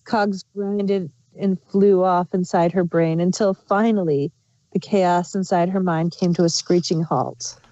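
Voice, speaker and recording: Woman narrator, fan fiction storytelling, soft dark tone, muffled home recording. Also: fairly low pitch, steady tone, a little slow, no room sound.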